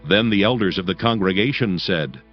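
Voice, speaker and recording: Male Bible narrator, deepish, solemn formal reading, music bed behind, dull lo-fi sound. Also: brisk. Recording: dull lo-fi audio under music.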